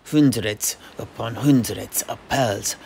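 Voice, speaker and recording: A man telling a tale in character for a fantasy audio play, in dramatic, measured phrases. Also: lowish voice, gravelly, a little mellow, a little hiss or hum. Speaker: host, storyteller.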